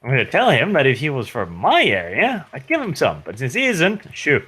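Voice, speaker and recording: A man at a tabletop game, blunt and quick to swear. His voice is bright and lively, swinging up and down in pitch. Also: slightly rough. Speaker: player. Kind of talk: casual game chat.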